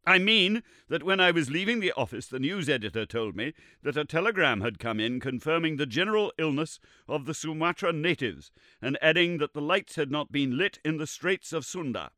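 Guy playing a young reporter, earnest, a bit raised, bright tone. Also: on the high side, lively intonation, moderate pace, no room sound, studio quality, voice pushed up. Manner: earnest, protesting. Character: young male journalist, earnest and defensive.

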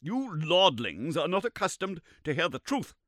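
A man voicing an irritable, sarcastic elderly male professor who scolds in a shrill, indignant voice. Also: sing-song, moderate pace, bright, forceful tone, dry recording, studio quality. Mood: scornful.

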